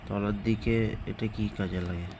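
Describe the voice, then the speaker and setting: A man's voice: lowish voice, fairly even intonation, normal speed. A man, chatting casually and thinking aloud in short, informal remarks like a vlogger reviewing a product. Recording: clearly noisy, ambient and vehicle sound.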